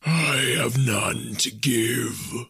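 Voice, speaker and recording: A man voicing the anguished ghost of a dead businessman in a storybook reading, solemn and declamatory, with drawn-out phrases and a breathy, hoarse wail. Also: medium pitch, bright, forceful tone, no echo, heavily acted. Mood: anguished, weary.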